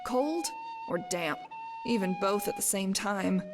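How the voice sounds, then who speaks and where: A woman's voice: mid-range voice, animated, measured pace. A woman voicing a guarded, breezy desert-born warrior woman in a video game, talking in a wry, casual way. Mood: incredulous. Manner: wry, casual, brush-off banter. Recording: long reverb, music behind. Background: game music.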